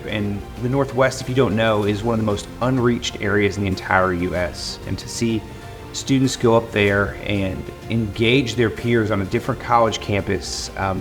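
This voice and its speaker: A man with a lowish voice talks about a student he mentored, relaxed and admiring, with some fillers. Faint music runs under him. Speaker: campus ministry leader. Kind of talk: tribute video interview.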